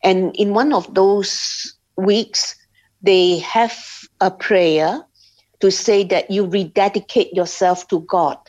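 Slow, hesitant woman from Singapore. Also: mid-range voice, fairly even intonation, a little mellow. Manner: slow, hesitant, many restarts and pauses. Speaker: interview guest. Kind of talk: personal testimony in an interview. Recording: slightly dull lo-fi sound.

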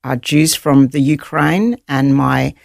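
Woman, New Zealand accent, gravelly, dry close sound, earnest and halting with fillers. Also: very deep voice, dry recording. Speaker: guest. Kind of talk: personal testimony interview.

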